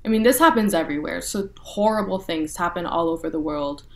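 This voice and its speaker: A young woman from North Texas, speaking as an interview guest in a relaxed way with lots of "you know" and "like" fillers. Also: on the low side, a little fast, gravelly. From North Texas, USA. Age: young adult. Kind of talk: casual interview talk.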